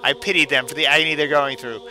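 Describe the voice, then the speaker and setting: A man's voice: a little high, fairly even intonation, fast talker, pushed and bright, rough recording. A man doing casual game commentary and mock-reading a story aloud, with a sarcastic, unimpressed manner. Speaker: gaming streamer and host.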